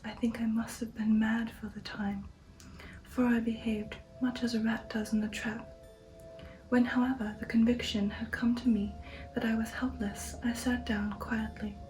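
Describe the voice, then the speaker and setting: Softly whispering ASMR woman, breathy and hushed. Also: on the high side, low-quality recording. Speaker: ASMR channel host reading a novel aloud. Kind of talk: whispered ASMR audiobook reading.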